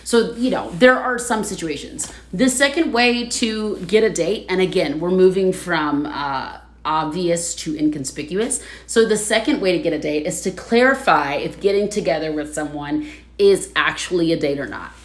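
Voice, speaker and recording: Woman host, casual livestream chat, a bit low-pitched, roomy echo; chatty, laughs a lot. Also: moderate pace. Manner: chatty, laughs often, reads viewer comments aloud.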